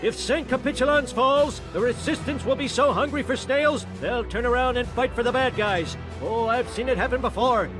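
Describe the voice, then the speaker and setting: An old army commander with an extremely high cartoon voice. He briefs his troops grandly and sentimentally over clearly audible game music. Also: moderate pace, few pauses. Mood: commanding. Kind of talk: cartoon war-briefing parody acting.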